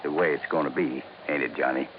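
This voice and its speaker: An actor playing a gruff American lawman with a folksy drawl, in old-time radio drama style. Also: very deep voice, fairly even intonation, quick pace. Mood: wry, firm. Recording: muffled noisy vintage radio recording.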